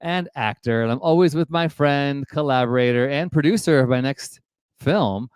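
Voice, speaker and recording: A man's voice: mid-range voice, moderate pace, a little mellow, clear, resonant tone, dry recording, clean studio sound. A man hosting a live web show from his office headquarters, upbeat and encouraging. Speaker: host.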